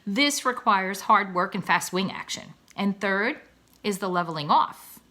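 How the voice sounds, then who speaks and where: A proud father swan from a children's storybook, acted out by a woman, speaking in grand, florid declamation. The voice is bright and forceful, with plenty of rise and fall, as if every sentence were a lecture to his young. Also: mid-range voice, expressive, a little slow. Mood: instructive, exalted. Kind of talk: children's storybook read-aloud.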